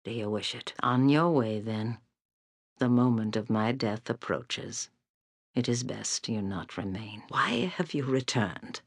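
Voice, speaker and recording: Husky, slow old seer woman. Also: a bass voice, no echo, studio quality, exaggerated voice. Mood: weary, mysterious. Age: older. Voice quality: breathy, husky, aged. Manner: slow, grave, portentous. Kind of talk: dramatic fantasy game voice acting.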